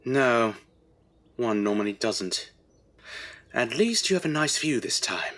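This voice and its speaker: A man's voice: mid-range voice, big pitch swings, slow, fairly bright tone. A man playing a fond, worried Edwardian husband in a radio drama, with a British accent and a dry, fussing manner. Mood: dry concern.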